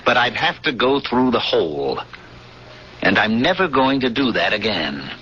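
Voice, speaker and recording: A frightened ex-smuggler pilot. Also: male voice, medium pitch, sing-song, pushed and bright, a little hiss or hum. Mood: fearful. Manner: tense, insistent, halting under fear. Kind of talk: 1970s radio drama acting with narration. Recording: dull 1970s radio broadcast.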